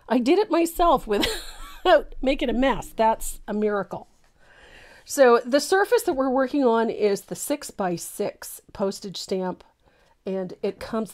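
A woman in her sixties, a little congested and gravelly, hosting a live painting lesson in her home studio in a chatty way. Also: medium pitch. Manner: chatty, warm-hearted, laughs often, many ums. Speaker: painting teacher, live show host. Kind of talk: casual live painting tutorial. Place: home craft studio, indoors. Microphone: studio mic on boom arm.

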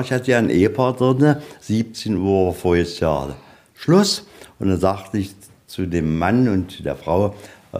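Deep, mellow folksy male museum guide. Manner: folksy, rambling storyteller, tags sentences with "ja". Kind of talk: informal guided tour with anecdotes. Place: inside a museum, on location.

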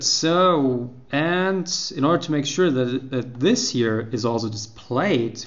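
A man walking through a coding tutorial over a screen recording, unhurried and full of fillers and restarts. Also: medium pitch, lo-fi sound. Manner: unhurried, explaining step by step with many fillers and restarts. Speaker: programming tutorial host.

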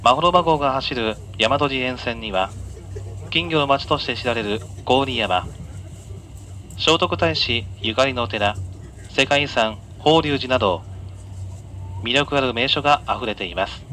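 A man working as a train conductor, giving polite, formal announcements and sightseeing guidance to passengers in a measured way. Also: medium pitch, bright, forceful tone. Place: inside a moving train, over the announcement system. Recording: on-board PA captured amid train noise. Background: faint train running noise.

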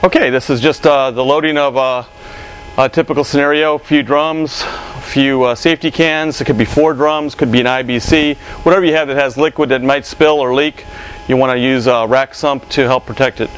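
Halting middle-aged man demonstrating a product. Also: medium pitch, fairly even intonation, a little hiss or hum. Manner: halting, explanatory, with frequent pauses. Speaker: product presenter for a company. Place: warehouse with metal walls and racking.

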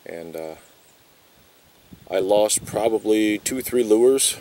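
A man's voice: on the low side, slow. A man in his thirties casually chatting while kayaking on a pond, rambling with lots of fillers, filmed on a close camera outdoors. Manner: relaxed, rambling, frequent "uh" fillers. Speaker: outdoor vlogger. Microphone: camera close at arm's length. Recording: wind noise outdoors.

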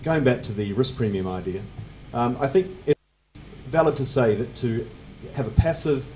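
Mellow-voiced man on a finance panel. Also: mid-range voice, a little hiss or hum, poor audio. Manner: measured, technical, trailing off at phrase ends. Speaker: panelist, fund manager. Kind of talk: panel discussion answer. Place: conference room on a panel table. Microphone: table mic on stand.

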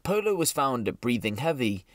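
A man's voice: mid-range voice, sing-song, normal speed, a bit bright, no room sound, studio quality. A man narrating a scripted true crime story in a serious storytelling manner.

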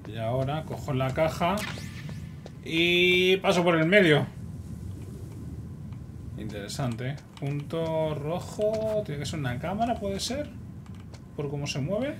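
Chatty Spanish gamer thinking aloud. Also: male voice, on the high side. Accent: Spain Spanish. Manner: chatty, excitable, talks to himself. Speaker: gameplay streamer. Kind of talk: casual gameplay commentary. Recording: noisy recording.

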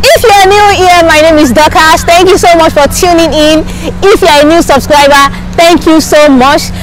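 A woman's voice: very high-pitched, steady tone, moderate pace, rough recording. Upbeat young woman vlogging in a park. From Africa. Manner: upbeat, repetitive channel promotion. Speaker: YouTuber and street interviewer. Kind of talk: vlog intro and street interview. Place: outdoors in a city park lawn. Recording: outdoor park with crowd and traffic behind.

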